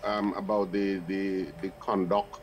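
A man's voice: deep voice, monotone, a little hiss or hum, low-quality recording. A man co-hosting a breakfast radio show, conversational and questioning. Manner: conversational, questioning, drawn-out fillers. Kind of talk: casual studio banter. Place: radio studio.

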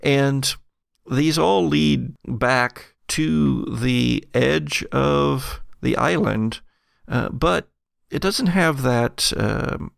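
A man narrates a tabletop roleplaying game with heavy vocal fry. He speaks slowly and deliberately, feeling his way through descriptions, and the sound is close and dry. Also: mid-range voice, dry recording. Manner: halting, deliberate scene description. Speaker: game master.

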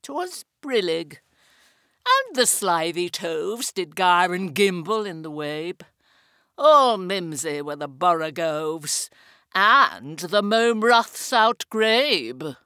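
A woman giving a theatrical recitation of a nonsense poem, deliberate and emphatic. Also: fairly low pitch, sing-song, bright, forceful tone, no room sound, studio quality. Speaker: reader of a poem.

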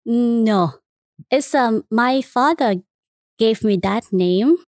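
A woman's voice: on the high side, a little mellow, dry recording, clean studio sound. Vietnamese-accented woman speaking careful English. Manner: careful, halting English with pauses and fillers. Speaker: interviewee, nun. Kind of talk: casual interview answers.

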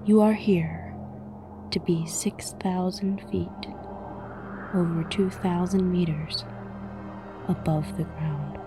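A woman narrating a scripted guided meditation in a soothing, measured way with frequent pauses, her voice soft and breathy, often near a whisper. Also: lowish voice, steady tone. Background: music.

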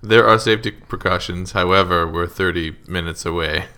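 A man tossing in deadpan one-liners during a casual podcast chat. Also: sits low, moderate pace, crowd noise. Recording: loud noise over voice.

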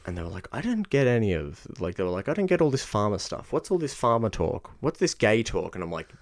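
A man co-hosting a podcast in casual chat, blunt and sweary, voice jumping up when excited. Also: fairly low pitch, quick pace. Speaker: podcast co-host.

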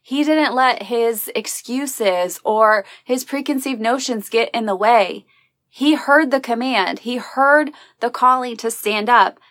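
A woman's voice: a little high, slow pace, fairly bright tone, no echo, clean studio sound. A woman hosting an inspirational podcast, talking earnestly and encouragingly about faith. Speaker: podcast host. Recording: recorded as a live video.

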